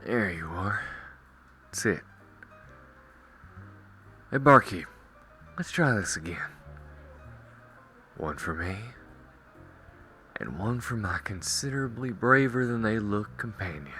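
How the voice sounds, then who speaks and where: Man voicing a deep, slow-drawling cowboy gunslinger. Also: lively intonation, sharp tone, faint music behind, heavily acted. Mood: wry, teasing. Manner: slow, drawling, wry, reassuring. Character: calm, cocky gunslinging cowboy ranger, teasing but protective. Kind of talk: roleplay audio drama addressed to the listener.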